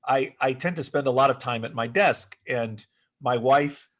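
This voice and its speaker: A man's voice: medium pitch, moderate pace, fairly bright tone. A middle-aged man, born in the United States but with Greek as his first language, speaking as a guest on a video call, thoughtful and full of restarts. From United States, raised in Greece until six. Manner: thoughtful, many fillers and restarts. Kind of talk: remote interview conversation.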